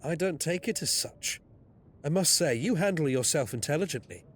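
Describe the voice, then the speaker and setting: Coolly logical gentleman detective. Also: a little high, expressive, moderate pace, slightly rough. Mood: cool, measured. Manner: precise, formal, detached. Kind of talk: audio drama acting.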